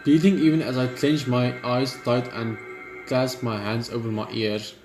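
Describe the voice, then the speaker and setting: A man gaming, reading a horror visual novel's narration aloud in a steady read, dropping blunt side remarks. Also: mid-range voice, moderate pace, reverberant, soft background music. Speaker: gamer.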